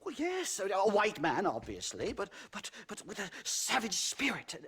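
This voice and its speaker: An actor playing a pompous, excitable academic professor in a video game, stammering and condescending as he talks. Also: high-pitched, normal speed, slightly rough, exaggerated voice. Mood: condescending, excited. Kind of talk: theatrical video game acting.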